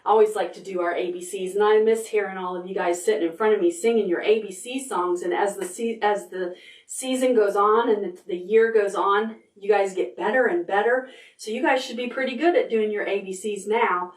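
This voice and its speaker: A woman's voice: medium pitch, recorded from a distance. Cheerful librarian woman in an echoey room. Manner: cheerful, slow and encouraging, talking to small children. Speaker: children's librarian leading storytime. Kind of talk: preschool storytime, reading aloud and counting with kids. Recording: roomy sound from away from the camera mic.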